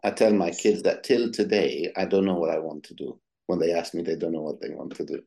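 A man's voice: low voice, fairly even intonation, a little fast, dark tone. A middle-aged man from Egypt, a psychiatrist answering interview questions with many hesitant ums and uhs. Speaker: psychiatrist guest.

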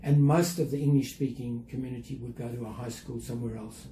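An older man with a South African accent, talking reflectively and carefully as an interview guest, with measured, hesitant phrasing. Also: mid-range voice, steady tone, mellow. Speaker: interview guest, museum chief executive. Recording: roomy echo, faint background noise.

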